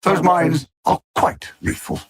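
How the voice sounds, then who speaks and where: A man playing a cold, arrogant alien machine supervillain in a video game, with a raspy voice and a condescending, menacing, deliberate delivery. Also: a little high, level tone. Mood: smug, menacing. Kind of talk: scripted video game villain dialogue.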